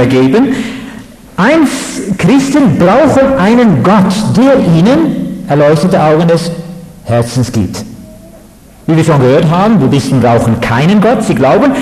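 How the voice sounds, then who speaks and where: A man from the Netherlands, giving a measured, halting lecture on religion with fillers. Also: sits high, a little mellow. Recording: echoey hall.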